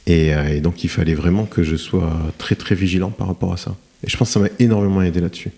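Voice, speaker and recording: Middle-aged man, deep and warm, halting and reflective interview guest. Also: steady tone. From France. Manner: hesitant, many fillers and restarts. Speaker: guest, cement plant director. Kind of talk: podcast interview, reflective storytelling.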